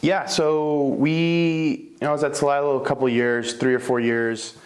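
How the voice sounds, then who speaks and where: A man's voice: on the high side, flat delivery, moderate pace. A man from Slovenia who owns a bakery, telling his life story in a casual interview, rambling with fillers and self-corrections. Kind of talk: casual oral history interview.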